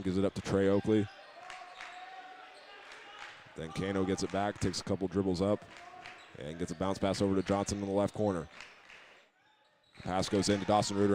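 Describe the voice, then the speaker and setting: A man's voice: low voice, a little mellow, a little hiss or hum. An American man giving live basketball radio commentary as a play-by-play announcer, narrating each move steadily and methodically. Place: basketball game in a gymnasium.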